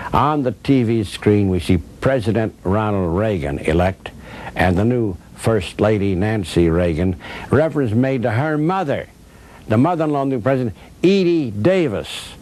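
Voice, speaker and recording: Older man, low-voiced, wry storyteller. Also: lively intonation, a little mellow. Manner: wry, theatrical raconteur, deliberate phrasing. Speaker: former radio actor, interviewee. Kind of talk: anecdotal storytelling. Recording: clearly noisy recording with low rumble.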